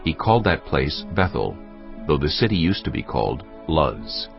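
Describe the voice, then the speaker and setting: Very deep, gravelly male narrator. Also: sing-song, normal speed. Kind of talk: solemn scripted scripture narration. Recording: dull sound, music clearly behind.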